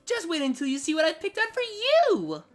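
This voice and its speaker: A bubbly, childlike young cartoon girl, put on by a man, gleeful and giddy. The voice is pitched way up and swoops wildly, exclaiming over music. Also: huge pitch swings, moderate pace, heavily acted. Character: giddy, childlike, festive young female pony.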